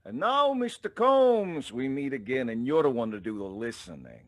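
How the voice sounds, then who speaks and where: A menacing henchman from a noir radio play. His delivery lurches wildly up and down in pitch as he gloats with mock courtesy. The sound is dry. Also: very animated, normal speed, a bit bright, dry recording. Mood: gloating menace. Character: gangster henchman, dim but menacing, gloating. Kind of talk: 1940s radio noir acting.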